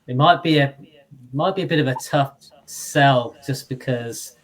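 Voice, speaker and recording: A man's voice: medium pitch, measured pace, a little hiss or hum, low-quality recording. A man co-hosting a football podcast, rambling casually and poking fun at himself. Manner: rambling, self-deprecating storyteller. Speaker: podcast co-host. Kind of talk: casual chat.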